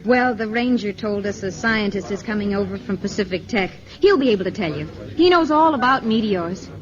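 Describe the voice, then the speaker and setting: A woman's voice: mid-range voice, lively intonation, brisk, lightly acted. An actress playing an eager, admiring, educated young woman in a 1950s radio drama, earnest and polite. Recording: dull 1955 radio broadcast, crowd behind.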